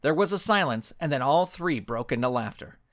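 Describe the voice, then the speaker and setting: A man's voice: mid-range voice, expressive, fairly quick, no room sound, clean studio sound. A man reading scripted dramatic narration as a storyteller. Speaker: author and narrator.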